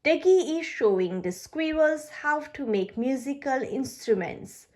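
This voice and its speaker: A woman reading a children's storybook aloud for toddlers, with a halting delivery and the odd slip. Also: high-pitched, expressive, darkish tone. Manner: halting reading with slips and pauses. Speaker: children's book reader on a video channel.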